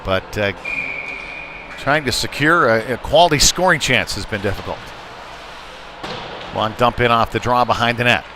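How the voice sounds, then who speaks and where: A middle-aged or older man doing live ice hockey play-by-play in a conversational, halting way with short clipped phrases. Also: mid-range voice. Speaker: play-by-play commentator. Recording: noisy live arena sound. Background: rink noise and ambience.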